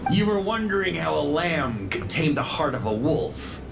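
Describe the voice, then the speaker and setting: A man's voice: fairly high pitch, very animated, normal speed, gravelly, noisy background. A man voicing a seasoned, defiant knight commander in a video game, confident, wry and theatrical. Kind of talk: dramatic video game voice acting. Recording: loud music with plucked strings behind.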